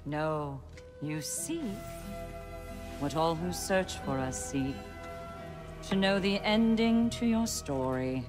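A slow, low-toned female Norn, narrating as an all-knowing fate spirit in a game. Her theatrical, detached voice rings in long reverb over music. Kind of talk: scripted narration in a video game. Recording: long echoing hall reverb, music underneath.

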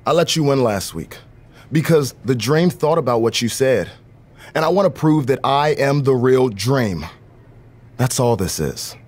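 An actor playing a vain, aloof, flamboyant wrestler in a video game, haughty and husky. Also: male voice, mid-range voice, animated, unhurried, darkish tone. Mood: haughty, dismissive. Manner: haughty, third-person self-talk. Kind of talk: video game cutscene acting.

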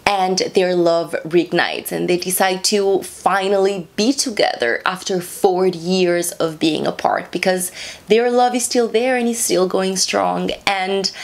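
A young woman talking casually and expressively about books she read, in a small room. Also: medium pitch. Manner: chatty and expressive, talks with her hands. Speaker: book reviewer. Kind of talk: casual book review vlog. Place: small room with a plain wall.

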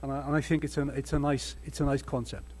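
Husky Welsh-accented older man lecturing. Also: medium pitch, flat delivery, fast, a little mellow. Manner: earnest, emphatic lecturing with restarts. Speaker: panel speaker. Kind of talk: conference panel talk with slides. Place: conference hall panel table. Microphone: table microphone.

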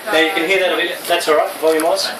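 Middle-aged guy doing a laid-back intro, echoey tent, loud noise in the background. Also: a little high, level tone, racing, distant mic. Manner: casual, easygoing, fillers. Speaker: expo organiser introducing speaker. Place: large tent at an outdoor expo. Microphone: handheld mic, far camera.

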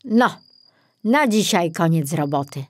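Woman as worn out forest ranger guy in a kids story, mild, muttery, slow talking. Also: on the low side, expressive. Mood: tired. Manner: sighing, muttering, uncertain. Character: harried, weary male forester, mild and put-upon.

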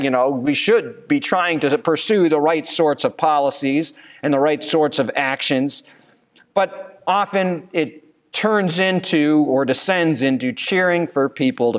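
A man in his thirties, a pastor preaching a sermon at a church pulpit, earnest and given to rhetorical questions. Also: on the high side. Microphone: pulpit microphone.

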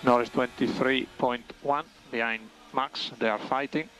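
A man's voice: mid-range voice, fairly even intonation, measured pace, a bit bright, a little hiss or hum, low-quality recording. A man working as a race engineer, giving clipped, factual updates over team radio and repeating key phrases for clarity. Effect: team radio transmission.

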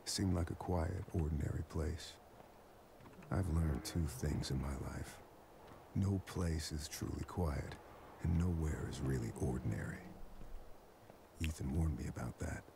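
An actor playing a weary, middle-aged occult detective in a video game, narrating gravely and deliberately with a bassy voice. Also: male voice, noisy background, heavily acted. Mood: brooding, grave. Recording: music behind the voice.

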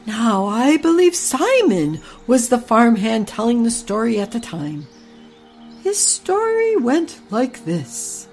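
A woman's voice: a little high, big pitch swings, faint music behind, voice pushed up, full character voice. A woman voicing a kindly old cow who tells stories to the barn animals. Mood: storytelling. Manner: gentle, unhurried storyteller. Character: old motherly cow, female, fond of tales.

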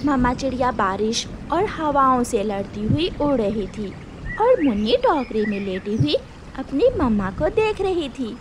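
A woman's voice: high-pitched, big pitch swings, a little slow, noisy background. A woman narrating a scripted children's story in a dramatic way, as storyteller.

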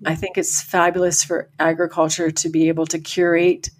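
A woman's voice: fairly low pitch, monotone, moderate pace, a little hiss or hum. An older woman coach from Canada, talking upbeat and encouraging as a podcast guest over a call. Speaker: farm family coach. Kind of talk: podcast interview. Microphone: remote call.

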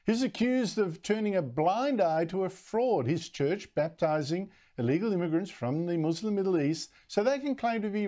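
Older male TV anchor, wry and measured. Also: sits high, warm tone. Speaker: TV news host. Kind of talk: news show introduction and interview. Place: TV studio.

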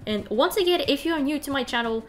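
A woman's voice: sits high, expressive, quick pace. A young woman from Quebec with a French Canadian accent, chatting casually with lots of ums as she narrates her bullet journal video. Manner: chatty, many ums and uhs, light laughs.

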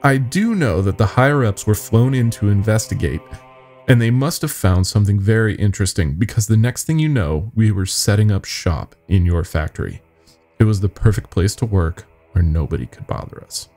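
A man voicing a nervous young company worker confessing secrets in a halting, grave horror-story telling. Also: fairly low pitch, expressive, darkish tone, soft background music. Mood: uneasy.

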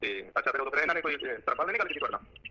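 A man's voice: mid-range voice, animated, racing, bright, forceful tone, slightly rough. A man on a phone call, questioning and talking insistently, often repeating his words. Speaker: caller. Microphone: telephone. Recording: muffled lo-fi phone call recording.

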